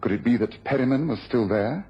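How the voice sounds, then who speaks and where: A man playing a commanding, precise gentleman detective in a radio drama. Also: medium pitch, brisk, slightly rough, close to an everyday voice. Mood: composed, explanatory. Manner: authoritative, precise, explanatory. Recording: dull lo-fi radio, faint noise.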